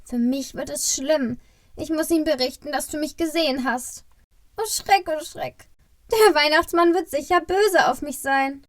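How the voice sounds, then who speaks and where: Lady doing jumpy worried xmas elf guy, squeaky high, super dry recording. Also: a little slow, no room sound. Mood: nervous, worried. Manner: fretful, exclaiming. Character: small, anxious, excitable Christmas elf, male. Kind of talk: family Christmas audio play.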